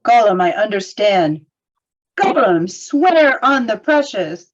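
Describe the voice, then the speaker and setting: A woman's voice: mid-range voice, big pitch swings, slow pace, dull audio. A woman playing a stern, commanding male hobbit in a table read of a fantasy script. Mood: firm. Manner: firm, commanding.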